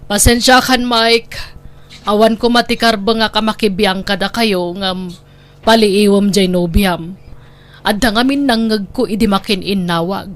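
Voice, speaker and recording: A woman's voice: mid-range voice, fairly even intonation, a little slow, natural voice. A woman with an Ilocano Filipino accent playing an older, motherly neighbour, earnest and advising, in audio drama style. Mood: concerned.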